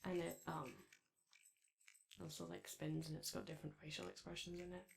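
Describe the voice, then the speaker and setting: A woman's voice: deep voice, little pitch movement, normal speed, creaky, heavy background noise. A woman playing a shy, chatty animatronic daycare attendant in a roleplay ASMR, rambling tentatively and trailing off. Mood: hesitant, helpful. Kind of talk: roleplay ASMR, improvised-sounding casual chat.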